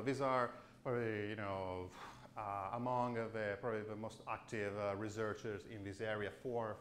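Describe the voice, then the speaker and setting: Halting lecturer in an echoey room. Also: male voice, lowish voice, a little hiss or hum. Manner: halting, many fillers and restarts. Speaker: keynote speaker. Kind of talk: academic conference lecture. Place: lecture room with chalkboard and projector.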